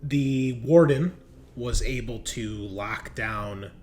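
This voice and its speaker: A man's voice: mid-range voice, slow pace, darkish tone. A man narrating a tabletop roleplaying game as game master in a conversational, casually sweary way.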